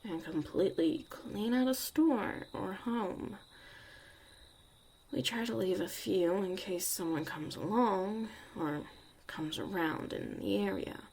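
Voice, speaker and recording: A woman playing a jaded, blunt young witch mother in a soft, sardonic ASMR roleplay about surviving the apocalypse. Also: medium pitch, takes it slowly, husky. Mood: matter-of-fact. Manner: sardonic, casual, swearing, dismissive.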